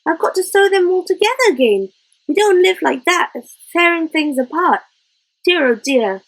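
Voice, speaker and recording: A woman's voice: extremely high pitch, lively intonation, normal speed, pitched above the performer's own voice, poor audio. A woman voicing an exasperated, house-proud older wife, scolding in a fretful, emphatic but motherly way, in a storybook reading.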